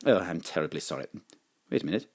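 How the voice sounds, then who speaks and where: Suave, animated gentleman lover. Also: low-pitched, quick pace. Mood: attentive, apologetic. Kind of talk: parody of old romantic drama.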